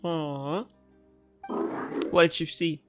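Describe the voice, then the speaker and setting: A man's voice: fairly high pitch, fairly even intonation, drawn-out words, over loud music. A man giving casual let's play commentary as a gaming streamer.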